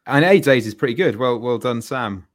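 A man's voice: mid-range voice, lively intonation, normal speed. A man chatting casually as a podcast panellist, good-natured and a little hesitant. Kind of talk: casual chat.

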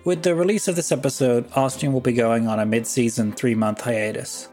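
A male podcast host gives a casual scripted announcement. His voice is mid-pitched, with music playing faintly behind. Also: fairly even intonation, fairly quick, a little mellow.

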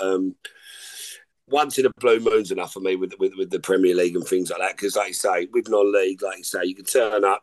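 A middle-aged man, a podcast guest chatting in a loose, rambling way, often saying "like I say" and "listen". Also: lowish voice. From England. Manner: rambling, informal, repeats "like I say" and "listen". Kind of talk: casual chat.

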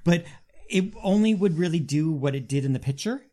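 A man's voice: a little high, lively intonation, fairly quick, mellow. A man who performs as a drag queen, chatting casually as a podcast guest in a big, self-mocking voice. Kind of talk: casual chat.

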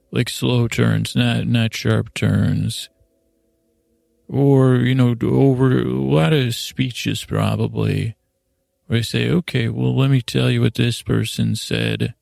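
Male bedtime podcast host: mellow, very dry sound, lulling, hesitant rambler who wanders off and circles back. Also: mid-range voice, level tone, slow, no room sound. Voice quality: creaky, gravelly. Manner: hesitant, meandering, repeats and trails off; lulling, soothing delivery.

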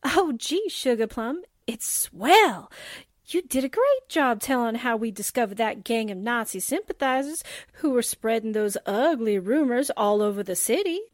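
A woman's voice: a little high, expressive, moderate pace, no room sound, clean studio sound, lightly acted. An actress playing a perky, admiring young newspaperwoman sidekick, chipper and folksy, in 1940s radio drama style.

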